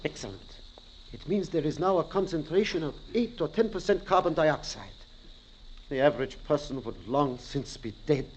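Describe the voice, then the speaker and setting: An actor playing a grave, commanding doctor in an old radio drama, with deliberate, formal phrasing. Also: male voice, fairly high pitch, animated, lightly acted. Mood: grave, solemn. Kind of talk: 1940s-50s radio drama acting. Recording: muffled, hissy old radio recording.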